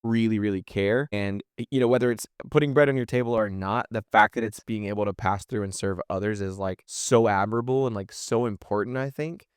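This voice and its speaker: Fast-talking, low-voiced man in podcast chat. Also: clear, resonant tone, dry recording, clean studio sound. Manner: earnest, encouraging. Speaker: podcast guest.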